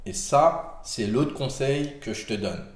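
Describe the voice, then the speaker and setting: A man's voice: mid-range voice, takes it slowly, reverberant. A man with a cold giving casual health advice to camera, rambling with many fillers and restarts. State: has a cold.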